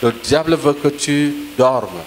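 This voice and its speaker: A man's voice: a little high, lively intonation, slow pace. A man with an Ivorian French accent preaching a sermon into a handheld mic in a large church hall, giving commanding declarations. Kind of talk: sermon with live interpretation. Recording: echoey hall with crowd noise.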